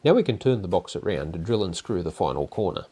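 An older man narrating a woodworking project in a calm, methodical, step-by-step way, as host of his own workshop channel. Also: low-pitched, fast, slight background noise. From Australia. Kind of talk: instructional voice-over narration. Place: home garage workshop.

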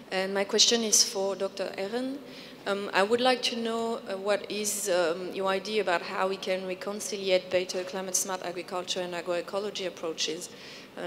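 A woman asks a question from the floor in a measured, methodical way, her pitch held very level. The hall adds a long reverb and a faint hiss. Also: a bit bright. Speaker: audience member asking a question. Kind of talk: conference question. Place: large conference hall.

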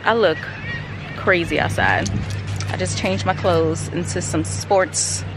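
A woman's voice: on the low side, takes it slowly, over music. A woman in her late twenties chatting casually to her phone camera as she walks along a beach. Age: late 20s. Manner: chatty, talking to the camera while walking. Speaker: vlogger. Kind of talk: casual travel vlog. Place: outdoors on a beach by the sea. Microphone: phone camera at arm's length. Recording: loud wind and surf noise.

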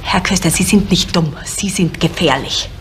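A woman's voice: on the low side, normal speed, natural voice. A woman playing an indignant, guarded middle-aged small-town woman in a 1970s German radio crime play, speaking formally and reproachfully. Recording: faintly noisy 1970s radio recording.

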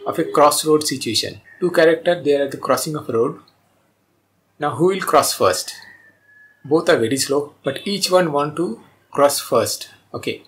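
A man in his forties hosting a video as a cartoonist, speaking to camera in simple, short, measured phrases. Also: a little high, a little hiss or hum, rough recording. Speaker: host, cartoonist. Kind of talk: scripted-sounding video introduction to camera. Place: small plain room indoors.

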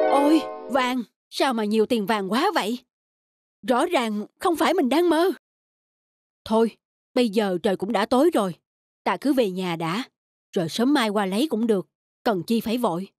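An actor playing a kind, honest, easygoing peasant husband in an animated folk tale, speaking earnestly. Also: male voice, very high-pitched, normal speed, long pauses. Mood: amazed, then unhurried. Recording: music behind the voice.

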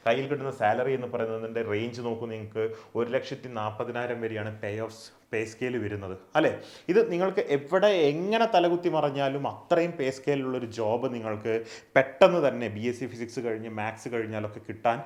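A young man runs through an exam prep lesson with a mid-range voice. He sounds persuasive and keeps tossing in rhetorical questions and tag questions. His voice bounces around an echoey room. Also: mid-range voice. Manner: persuasive, asks questions and answers them himself, many rhetorical tag questions. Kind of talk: explainer lesson on an exam, mixing English terms.

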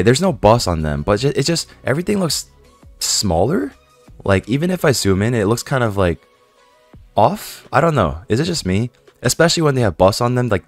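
A young man with a hoarse voice from a cold, chatting casually to viewers while playing a game, rambling with lots of fillers. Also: medium pitch, no room sound. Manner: chatty, rambling, many fillers and self-questions. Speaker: gaming YouTuber.

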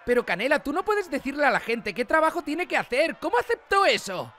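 Casting: a woman as weary young male cartoon mayor, Spain accent, incredulous, fairly high voice. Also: sing-song, fairly quick, fairly bright tone, slight background noise. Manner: incredulous, exasperated, colloquial. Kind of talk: animated parody fandub acting.

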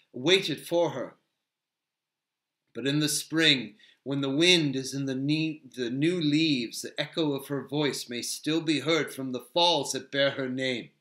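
A man's voice: a little high, bright, forceful tone. A man voicing a grave, wistful male elf who recites verse and lore in a lyrical, solemn storybook manner. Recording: roomy, echoing sound.

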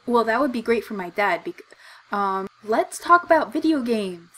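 A woman's voice: a little high, lively intonation, normal speed, bright, forceful tone. A young woman playing a game, giggly and bemused, pressing questions into a desk microphone. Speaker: gamer. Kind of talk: let's play commentary.

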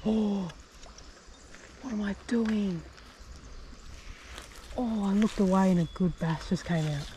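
A man's voice: high-pitched, dark tone, a little hiss or hum. Man muttering on a creek. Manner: muttering to himself, quick bursts, some whispered asides. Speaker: fishing vlogger. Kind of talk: casual self-talk while fishing. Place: outdoors on a bush creek bank. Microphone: head-mounted action camera.

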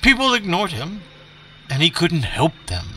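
Man playing a slow, theatrical professor storyteller. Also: fairly high pitch, wildly swooping pitch, bright, forceful tone, recorded from a distance, slight background noise, exaggerated voice. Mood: measured wonder. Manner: theatrical, unhurried, dramatic pauses. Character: eccentric professor storyteller reading to children over old radio. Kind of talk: dramatic children's storytelling.

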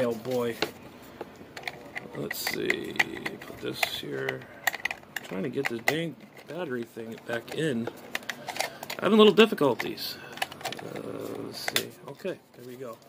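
A middle-aged man talking casually and rambling, muttering to himself as he thinks aloud in an unboxing vlog, recorded on a phone in a small room. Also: mid-range voice. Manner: rambling, muttering to himself while handling items. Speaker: amateur radio hobbyist and vlogger. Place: small bedroom, indoors. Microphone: phone propped at arm's length. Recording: clear background noise, room ambience.

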